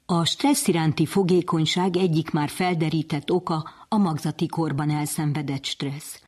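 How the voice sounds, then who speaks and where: Brief: female radio presenter, low husky voice, scripted narration. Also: fairly even intonation, darkish tone. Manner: clear, read-out narration.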